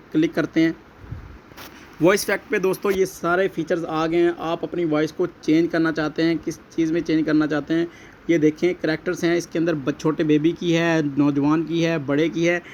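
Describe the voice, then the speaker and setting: A man with a Pakistani accent narrating a step-by-step app tutorial, explaining repetitively and addressing viewers as friends. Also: fairly high pitch. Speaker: tutorial host. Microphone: voice-over recorded alongside phone screen recording. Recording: dull lo-fi audio, faint background noise.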